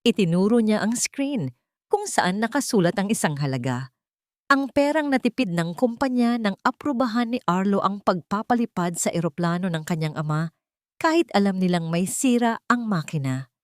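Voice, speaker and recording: A woman narrating a dramatic story in a steady, dramatic manner. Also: mid-range voice, moderate pace, no echo, studio quality.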